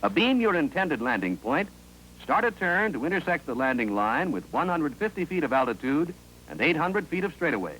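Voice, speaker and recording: An American man reading scripted narration for a 1950s instructional film in a clear, authoritative, instructive manner, on an old film soundtrack. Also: fairly high pitch, very animated, moderate pace, a bit bright, slight background noise, rough recording.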